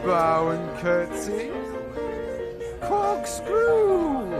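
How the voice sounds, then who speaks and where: An actor playing a jolly old master in a radio play, calling out dance steps in singsong fashion. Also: male voice, high-pitched, wildly swooping pitch, exaggerated voice. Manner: singsong, drawn-out calls. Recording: loud music over the voice.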